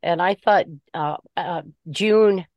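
A woman giving her opinions in an informal book discussion, speaking haltingly and deliberately as she searches for words. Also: lowish voice, no room sound, lo-fi sound. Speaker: book group member.

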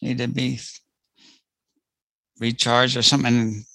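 A man's voice: mid-range voice, level tone, measured pace, vocal fry, bright, forceful tone, no room sound. A man talking casually in a group discussion, hesitant and repeating his phrases. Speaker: book club participant.

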